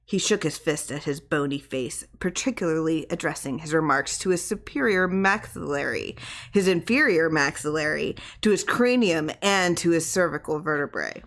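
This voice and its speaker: A woman's voice: deep voice, normal speed, fairly bright tone. A woman reading a horror short story aloud as scripted audiobook narration. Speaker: audiobook reader.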